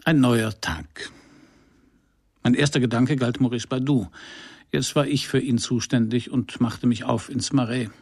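An actor playing a wry, hard-boiled private detective in a radio crime play, with a dry, laconic delivery. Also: male voice, mid-range voice, fairly even intonation, a little slow, darkish tone, breathy edge. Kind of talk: first-person crime radio play narration and dialogue.

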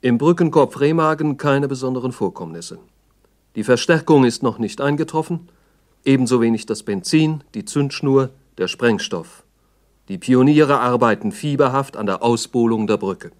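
A man's voice: medium pitch, expressive, normal speed. A man narrating a 1960s radio play as a sober, formal, factual report.

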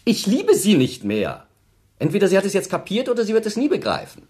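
A man's voice: high-pitched, wildly swooping pitch, a little fast, darkish tone, breathy edge, full character voice. An actor playing a callous, self-pitying man of thirty in a German radio play, haughty, theatrical and dismissive. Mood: scornful, exasperated. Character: cold, self-pitying thirty-year-old man, callous scornful former lover.

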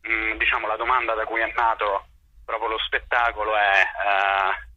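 Male interview guest, low-leaning and very bright voice, hesitant phrasing, dull telephone sound. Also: level tone, normal speed, no room sound. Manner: hesitant, searching for words. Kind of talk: spontaneous interview answers. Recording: dull, lo-fi phone line.

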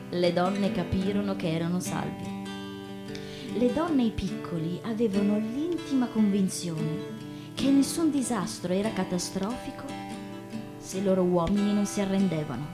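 Female stage reader, medium register, measured and theatrical, prominent acoustic guitar underneath. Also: mid-range voice. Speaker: stage performer reading stories. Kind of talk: dramatic literary reading and theatrical monologue. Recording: loud plucked acoustic guitar behind the voice. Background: guitar music.

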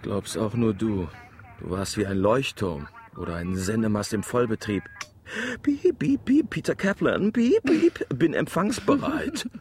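An actor playing a wry, avuncular middle-aged biologist who teases, in a naturalistic radio drama. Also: male voice, fairly low pitch, sing-song, measured pace, a little mellow, a little hiss or hum. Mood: mocking, amused. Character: wry, avuncular biologist, teasing older family friend.

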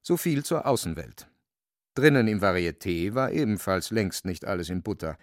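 A man's voice: low voice, animated, normal speed, no echo, clean studio sound. A man narrating a literary radio drama in a wry, dramatic storytelling manner.

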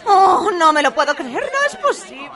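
An actress with an accent from Spain playing an excitable, dramatic young aspiring pop singer in a comic radio drama. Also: female voice, very high-pitched, moderate pace, heavily acted. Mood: indignant. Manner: exclamatory, emotional, swings from whining to elated. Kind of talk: comic radio fiction acting. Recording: loud music and crowd behind.

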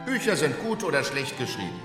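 A witty, epigrammatic gentleman played by a man in a radio play. His intonation swoops dramatically at a middling pitch, with loud music underneath. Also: sing-song, a little fast, natural voice. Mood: breezy, provocative. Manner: pointed, epigrammatic. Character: witty, aphoristic gentleman of leisure. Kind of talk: German radio play acting.

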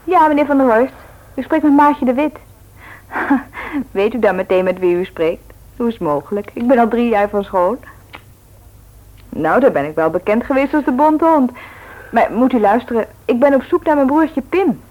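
Breezy, cheeky young sister. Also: female voice, fairly high pitch, expressive, normal speed, muffled recording. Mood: cheery. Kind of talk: radio play acting.

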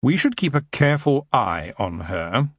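A man voicing a wry, coolly commanding tyrant in an audiobook, dry, measured and understated. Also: medium pitch, sing-song, dry recording. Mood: cool, wary.

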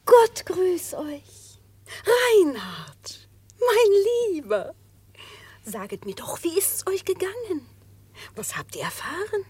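An actress playing the fox's anxious, devoted wife in a radio play, soft and pious. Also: female voice, extremely high pitch, huge pitch swings, slow, pauses often, no echo, exaggerated voice. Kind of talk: classic radio play acting.

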